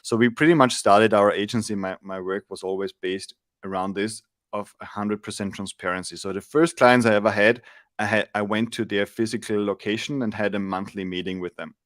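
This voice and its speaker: Austrian-born man, forties, guest; low voice, dry close sound, patient explainer who restarts sentences. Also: fairly even intonation, brisk, fairly bright tone, no room sound. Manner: thoughtful, explanatory, with frequent restarts. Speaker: guest, SEO agency founder. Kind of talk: casual interview chat. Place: home room, indoors. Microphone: large studio mic on arm.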